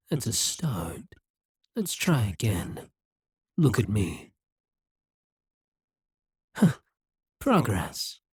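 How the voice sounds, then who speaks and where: Breathy, husky demon ruler, acted out, musing slowly. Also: medium pitch, darkish tone, dry recording, studio quality, full character voice. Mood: coolly amused, condescending. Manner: slow, musing, condescending. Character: dominant demon ruler, haughty, wry, coldly possessive. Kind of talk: ASMR roleplay acting.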